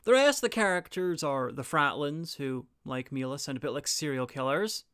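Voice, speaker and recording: A man's voice: a little high, animated, normal speed, a bit bright, clear, resonant tone, soft background music. A man narrating a scripted review in a wry, blunt, sweary manner. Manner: blunt, sweary, dry humour. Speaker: reviewer and presenter.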